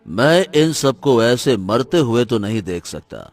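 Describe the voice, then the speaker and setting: Brave rescuer for animated drama, male actor: resolute, earnest, mid-pitched, very expressive delivery. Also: measured pace, soft background music. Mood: anguished resolve. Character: brave, resolute human hero rescuing captives. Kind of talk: dramatic animated story dialogue.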